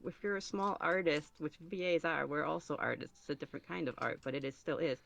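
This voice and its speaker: A man giving casual podcast interview answers as a guest, halting and thinking aloud as he goes. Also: a little high, vocal fry, a bit bright. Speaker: podcast guest, ASMR roleplay creator.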